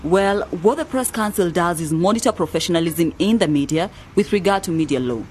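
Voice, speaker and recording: A brisk, authoritative woman official in a radio drama, played by an actress. Her voice is on the low side, with faint street ambience behind it. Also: lively intonation, darkish tone, a little hiss or hum, close to an everyday voice. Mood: official, explaining. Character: brisk, authoritative woman director of a press council. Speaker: actor in an educational radio drama. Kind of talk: scripted radio drama dialogue.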